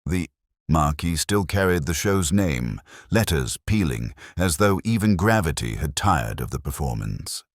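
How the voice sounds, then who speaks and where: Very deep-voiced man narrating detective fiction. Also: very animated, unhurried, dry recording, clean studio sound. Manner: wry, hardboiled storytelling. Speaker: audiobook narrator. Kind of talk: scripted first-person detective story narration.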